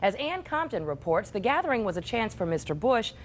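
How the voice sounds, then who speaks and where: A woman's voice: medium pitch, very animated, a little fast, slight background noise. American woman anchoring the news. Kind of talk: scripted TV news reading.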